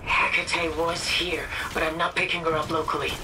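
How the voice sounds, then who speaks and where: An actress playing a composed robot scout in a video game, measured and matter-of-fact. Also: female voice, deep voice, flat delivery, noisy background, lightly acted. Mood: focused, concerned. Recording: distant voice under loud music.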